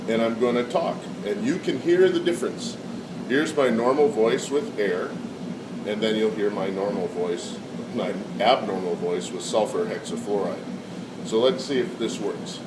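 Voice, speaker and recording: A somewhat low-voiced man with a cold talks through a science demonstration, pausing on fillers as he explains. He sounds set back from the microphone in an echoey room. Loud air conditioning hums steadily behind him. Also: far from the mic, heavy background noise. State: has a cold. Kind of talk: casual classroom demonstration.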